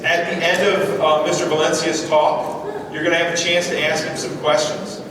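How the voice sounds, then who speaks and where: A man making relaxed program announcements at a school assembly, with frequent fillers. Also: a little high, normal speed, a crowd in the background. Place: large school hall. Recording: echoey hall from far off.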